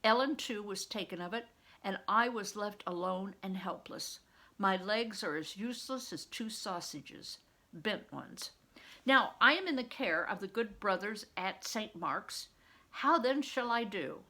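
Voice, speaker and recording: Woman reading as an earnest boy, creaky-voiced. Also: mid-range voice, lively intonation, a little slow, bright, forceful tone, heavily acted. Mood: earnest, plaintive. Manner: earnest, old-fashioned formal phrasing. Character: earnest young boy, eager bedridden pupil.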